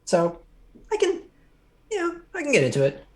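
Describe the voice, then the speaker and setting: A man co-hosting a game development stream, chatting casually with wry, relaxed asides over a video call from a home room. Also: extremely high pitch, normal speed. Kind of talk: casual chat during a dev stream.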